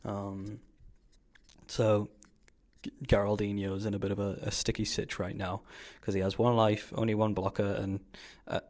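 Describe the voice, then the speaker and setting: Man, fairly low voice, casual gameplay commentator. Informal, slangy, explains each play as a fellow player. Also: flat delivery, normal speed, a little mellow. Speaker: card game player commentating his own match.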